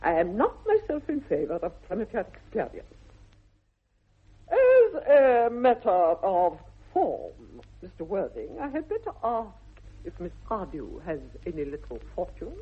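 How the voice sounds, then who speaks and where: An imperious older English aristocrat in a vintage radio comedy. Her upper-class delivery is haughty and emphatic, swooping widely with a husky edge. The recording is muffled and tinny. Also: medium pitch, wildly swooping pitch, unhurried, exaggerated voice. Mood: haughty, appraising. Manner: grand, haughty, emphatic drawl. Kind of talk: 1960s radio comedy acting.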